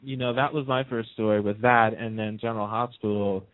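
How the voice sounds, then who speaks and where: A man's voice: lowish voice, steady tone, a little fast, no room sound. A man chatting casually as a guest in a radio interview, telling stories in a talkative way. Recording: narrow, tinny phone-line sound.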